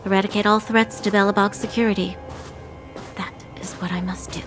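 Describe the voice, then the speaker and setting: A woman's voice: mid-range voice, level tone, fairly quick, close to an everyday voice. A woman voicing a stern, dutiful young military commander in an anime game, formal and resolute. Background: game music.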